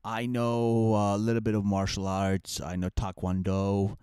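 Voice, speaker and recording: A man's voice: lowish voice, completely flat delivery, measured pace, a little mellow, clear, resonant tone, dry recording, studio quality. A man with an Armenian accent co-hosting a comedy podcast, chatting casually in a rambling, mock-boastful way. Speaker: comic and podcast co-host.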